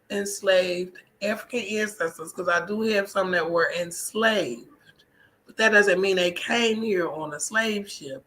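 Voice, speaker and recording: Casting: American female vlogger, bright and punchy voice, emphatic colloquial delivery, conversational solo talk. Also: lowish voice, rough recording. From United States. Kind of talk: casual personal vlog monologue.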